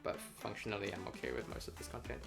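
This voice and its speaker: A man's voice: on the low side, sing-song, fast, gravelly, music in the background. A man chatting casually and unscripted on a game development livestream, rambling as he thinks aloud and swearing freely.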